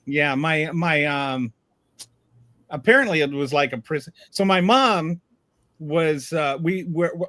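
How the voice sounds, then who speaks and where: A man from Louisiana hosting a casual panel chat, talking in a halting way with lots of restarts. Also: a little high, bright, forceful tone, no room sound. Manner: halting, full of fillers and restarts. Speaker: host.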